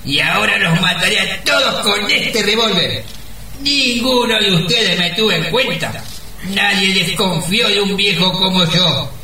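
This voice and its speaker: Creaky, echoing old schemer. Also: male voice, high voice, heavily acted. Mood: gloating. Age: older. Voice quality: creaky, sharp old-man voice. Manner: slow, theatrical delivery. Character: sly, greedy old man, a gold miner. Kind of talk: comic radio play acting. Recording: very echoey, distant, slightly noisy studio sound.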